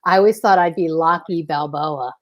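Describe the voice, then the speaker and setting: A woman from Philadelphia hosting a casual video call show, excitable and gushing as she talks. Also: low voice, normal speed. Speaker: host.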